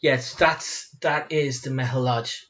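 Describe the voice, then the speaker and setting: A man's voice: medium pitch, steady tone, takes it slowly, fairly bright tone. A man with an Irish accent co-hosting a podcast, telling stories and chatting casually. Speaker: podcast co-host. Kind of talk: storytelling and casual chat. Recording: slightly dull sound, music present.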